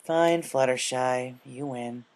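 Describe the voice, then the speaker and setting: A man's voice: mid-range voice, takes it slowly, a little mellow. Man as a frank, stubborn young pegasus mare. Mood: reluctant. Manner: casual, frank. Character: young female cartoon pegasus, plain-spoken, stubborn, troubled athlete. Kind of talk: character dialogue in fan fiction reading.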